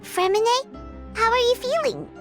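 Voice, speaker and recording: A woman voicing a tiny childlike fairy companion in an anime game, chirpy and squeaky. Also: lively intonation, moderate pace. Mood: concerned. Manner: refers to self in third person, exclaiming. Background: loud music.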